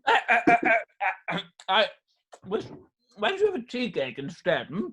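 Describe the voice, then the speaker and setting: A man's voice: high voice, huge pitch swings, normal speed, pushed and bright, husky, heavily acted. A man acting an unflappable young dandy in a Victorian stage comedy, airy, glib and mock-serious. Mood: nonchalant, defensive.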